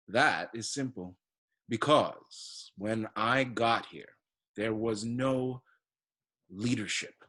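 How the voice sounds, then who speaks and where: A man playing a pompous, evasive political candidate, halting and self-repeating, who swells into grand slogans. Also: on the low side, pushed and bright, heavily acted. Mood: grandiose. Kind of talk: theatrical musical comedy acting.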